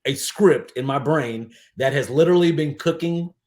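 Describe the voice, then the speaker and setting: A man in his forties talking casually as a podcast guest, emphatic, with halting false starts and some swearing. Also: on the high side, a little mellow. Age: 40s. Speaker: podcast guest, DJ and aspiring filmmaker. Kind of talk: casual interview chat.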